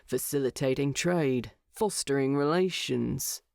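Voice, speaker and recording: A woman voicing a gruff, grumbling dwarf king with a dry manner in a narrated story. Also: very deep voice, measured pace, darkish tone, no room sound, voice pushed down, full character voice.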